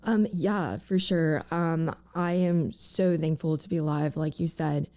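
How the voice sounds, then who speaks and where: Guest, young woman, raspy with voice cracks, lowish pitch, mellow tone, halting delivery. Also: steady tone. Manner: halting, many fillers and "like"s. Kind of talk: candid personal interview.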